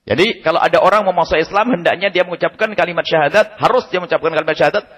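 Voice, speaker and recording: Man, preacher, husky voice, long hall reverb, radio broadcast. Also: high-pitched, very fast. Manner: lively, emphatic, repeats key points, frequent chuckles. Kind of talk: religious study lecture. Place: study gathering hall.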